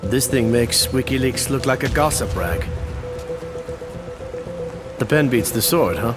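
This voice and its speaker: An actor playing a wry spy trading secrets in a video game, with a conversational manner. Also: male voice, medium pitch, animated, measured pace, music in the background, natural voice. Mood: wry, satisfied.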